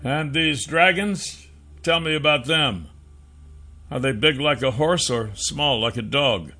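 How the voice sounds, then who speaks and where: A man's voice: medium pitch, takes it slowly, sharp tone, soft background music, pitched above the performer's own voice, exaggerated voice. A man as a firm, probing fantasy hero. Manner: firm, questioning. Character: clawed male dragon hero, firm, protective, probing.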